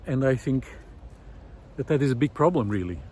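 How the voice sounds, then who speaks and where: A middle-aged man musing aloud in a reflective, hesitant monologue with frequent pauses, recorded while walking outdoors. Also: medium pitch, lively intonation, warm tone. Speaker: visual artist and vlog host. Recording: outdoor ambient noise.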